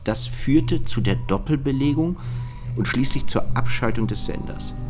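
An actor playing a dry, matter-of-fact male investigator in a German radio play. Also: lowish voice. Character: male investigator reporting on a dead code poet, dry.

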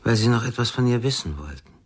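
An actor playing a calm, probing confidant in a radio crime drama. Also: male voice, mid-range voice, fast talker, breathy edge.

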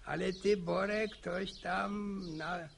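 An old country grandfather from a radio serial. His voice is very creaky and a little high for a man, and he speaks with halting, repeated, gently teasing interjections. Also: steady tone, slight background noise, exaggerated voice. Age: older. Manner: repetitive, halting, folksy interjections. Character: old Polish village grandfather, folksy, nodding along, gently teasing. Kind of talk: radio drama dialogue.

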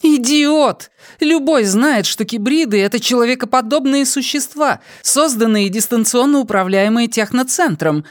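A man putting on an imperious high-voiced noblewoman. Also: normal speed, hardly any pauses, no echo, clean studio sound. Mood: urgent, commanding. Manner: commanding, sharp-tongued. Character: imperious noblewoman interrogator, sharp and impatient. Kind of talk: audiobook character voice.

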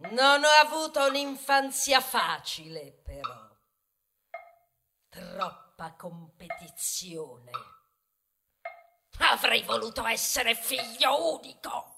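A woman performing a boastful, resentful young plastic bag in a theatrical radio monologue, declamatory and emphatic, pausing between words and at times singsong. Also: fairly high pitch, lively intonation, background noise. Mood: proud, wistful. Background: music.

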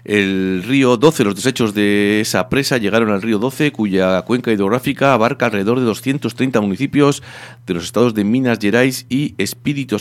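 A man presenting radio news and introducing a book reading in a measured, deliberate way. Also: on the low side, no echo. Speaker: radio host.

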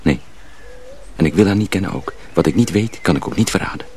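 A man acting in a radio drama as a calm, secretive friend and mentor, quietly cautioning and reassuring. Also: deep voice, quick pace, a little hiss or hum.

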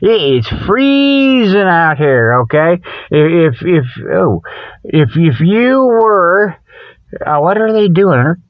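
A man hosting a comedy radio show, rambling folksily through mock sales pitches in a scatterbrained way and often losing his thread. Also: sing-song, slow pace, dry recording. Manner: scatterbrained, loses his thread, chuckles at his own jokes; folksy, colloquial, checks in with "okay". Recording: dull lo-fi radio sound.

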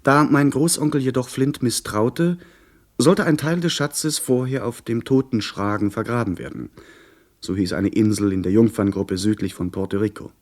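An actor playing an earnest, literate young gentleman who narrates his pirate adventure in a measured, articulate way in a radio play. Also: medium pitch, lightly acted. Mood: earnest recounting.

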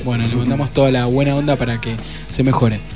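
A man's voice: medium pitch, little pitch movement, fast, over music. A man with an Argentine accent hosting a radio interview in a casual, chatty style. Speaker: radio show host.

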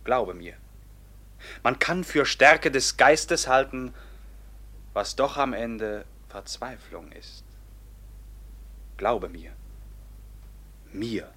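Forceful, tormented robber captain. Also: male voice, medium pitch, expressive, very slow, pauses often, heavily acted. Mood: grave, urgent. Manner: theatrical declamation, swinging from hushed murmurs to ringing outbursts. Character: brooding, tormented robber captain, noble-born and commanding. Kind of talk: classic German radio play acting.

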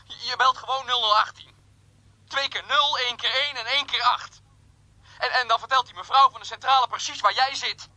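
A jilted man comes through a telephone filter, high and piercingly bright. He is jealous and petulant, barking demands and stumbling over his own repetitions. It is a radio play with a dry, close sound. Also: fairly even intonation, fairly quick, no room sound, exaggerated voice. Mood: petulant, defiant. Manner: demanding, stammering, repeating himself.

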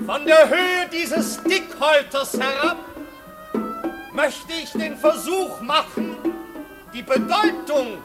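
High-pitched flamboyant marquis. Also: male voice, full character voice. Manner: grandiose, theatrical. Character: flamboyant marquis, festive host of a grand masked ball. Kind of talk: 1950s German radio drama acting. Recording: 1950s radio production, music and crowd behind.

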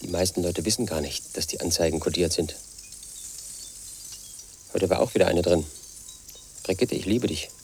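Lead in a radio drama: an obsessive, paranoid 38-year-old loner, deep-voiced. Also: male voice, vocal fry, a little mellow, slight background noise. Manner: pedantic, confiding, obsessed with statistics and codes. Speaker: lead actor in a radio play. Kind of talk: first-person radio drama monologue.

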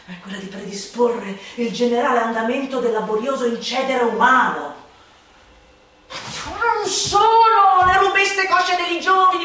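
Casting: a scornful, declamatory satirical orator for radio theatre, bright and cutting, heard from afar in a reverberant space. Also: female voice, high-pitched. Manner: declamatory, swinging from hushed sneering to shouted outbursts. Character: scornful, vehement satirical orator denouncing tyranny. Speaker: stage and radio actor. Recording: echoey hall, distant mic, faint noise.

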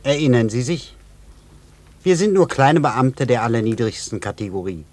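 An actor playing a low-ranking court official in a radio drama, formal and bureaucratic. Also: male voice, animated, normal speed, a little mellow, no room sound, lightly acted. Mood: officious. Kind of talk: dramatic radio play acting.

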